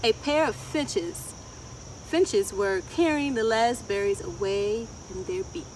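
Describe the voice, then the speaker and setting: A middle-aged woman reading a picture book aloud to children, expressive and deliberate, often putting questions to her listeners. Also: medium pitch. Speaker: children's storyteller. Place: outdoors in a wooded garden. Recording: outdoor, distant mic, ambient insect noise. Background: insects and outdoor ambience.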